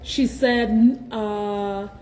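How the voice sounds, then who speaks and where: A woman's voice: mid-range voice, flat delivery, extremely slow, darkish tone. A woman hosting a TV segment on location, chatting in a playful way. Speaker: TV show host. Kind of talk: casual on-location interview. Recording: echoey room.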